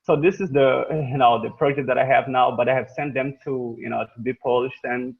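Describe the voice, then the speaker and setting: A man's voice: mid-range voice, steady tone, a little fast, gravelly, husky. Eager man from Mozambique, tinny call. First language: not English. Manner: eager, repeats phrases. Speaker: new meeting participant. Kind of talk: casual self-introduction. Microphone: video call. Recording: tinny phone-like call audio.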